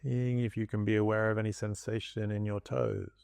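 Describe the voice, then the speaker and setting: A man leading a guided body scan meditation as a teacher, speaking in calm, gentle instructions with long pauses. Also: lowish voice, vocal fry, clean tone, no echo, studio quality.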